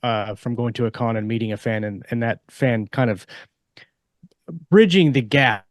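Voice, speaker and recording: A man co-hosting a podcast in casual chat, telling stories in a joking way with hesitant pauses. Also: medium pitch, dry recording, studio quality. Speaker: podcast co-host and author.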